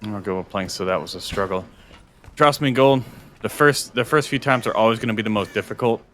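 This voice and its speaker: A man's voice: lowish voice, brisk, fairly bright tone, slight background noise. A young adult man chatting casually on a game stream, explaining workouts in a coaching way, often restarting his sentences.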